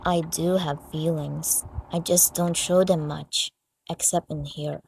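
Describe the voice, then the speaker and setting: Woman voicing a low, slow, deadpan pony. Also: gravelly, roomy sound, far from the mic, a little hiss or hum, heavily acted, low-quality recording. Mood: flat, determined. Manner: deadpan, understated, deliberate. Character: stoic, reserved young female pony, quietly sincere. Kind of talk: amateur comic dub voice acting. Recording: music behind the voice.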